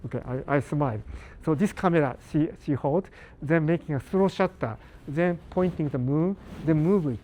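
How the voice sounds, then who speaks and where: Older man, slightly high voice, halting broken english, lecturing a live audience. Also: dark tone, slight background noise, poor audio. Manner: halting non-native English with many pauses and restarts; engages the audience with questions. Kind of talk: live academic talk to an audience.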